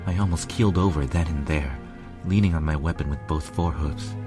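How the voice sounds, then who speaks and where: A man's voice: extremely low pitch, a little fast. A man narrating a fan fiction story as a lovelorn pony royal guard, grave and dramatic. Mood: anguished. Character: male pony royal guard telling his lovelorn tale. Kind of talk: scripted first-person story narration. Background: music.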